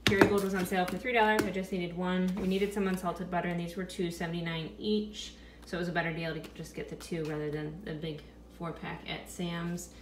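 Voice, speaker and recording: A woman in her thirties chats casually about her groceries, often stopping to recall prices. Her voice sits a little low. The sound is roomy, with a clear hum of household noise behind her. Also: fairly quick, few pauses. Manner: chatty, thinking aloud about prices. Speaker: vlogger. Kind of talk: casual grocery haul narration. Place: home kitchen. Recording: echoey room with steady background noise.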